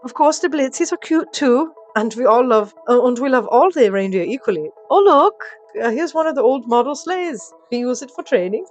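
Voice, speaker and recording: A woman's voice: sits high, lively intonation, moderate pace, few pauses, soft background music, pitched above the performer's own voice. Woman acting giddy, eager male elf guide. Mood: flustered, eager. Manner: prim, chirpy, turns imperious.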